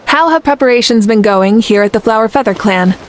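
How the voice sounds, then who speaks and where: A woman voicing a confident leader in a video game who speaks with warm assurance. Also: on the high side, fairly quick, slight background noise, lightly acted. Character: confident adult woman leader who cares for her people. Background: game music.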